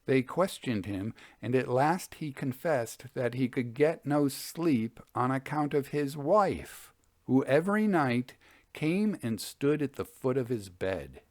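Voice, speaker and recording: A man hosting and reading old ghost stories as scripted narration, in a measured storytelling manner. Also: medium pitch, animated, no echo, studio quality.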